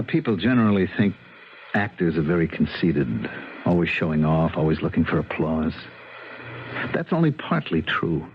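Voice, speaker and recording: A plain-spoken American husband muses in a low voice, exasperated and emphatic, circling back over his own words. It is a slightly dull 1970s radio drama recording with faint noise. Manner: emphatic, musing, repeats himself.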